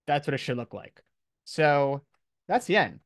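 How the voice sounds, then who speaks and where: A man's voice: fairly high pitch, big pitch swings, measured pace, dry recording, studio quality. A man speaking as a college professor, giving an informal, encouraging lecture to his class in casual, slangy language.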